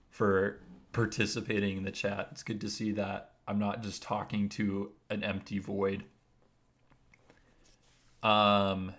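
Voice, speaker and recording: A man with a naturally deep voice, explaining his video editing steps one by one in a casual, rambling livestream. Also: a bit bright. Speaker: streamer.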